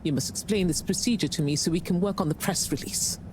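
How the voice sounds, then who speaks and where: Actress as imperious middle-aged female CEO for a game: lowish, very animated, smug, noisy hum behind. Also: fast talker, natural voice. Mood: haughty. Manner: haughty, smug, dry. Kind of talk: scripted video game dialogue.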